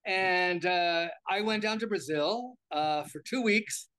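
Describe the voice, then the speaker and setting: Older man, high-pitched, American, interview guest, rambling, repeats words. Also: slow pace, pushed and bright, smooth. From United States. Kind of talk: casual interview talk.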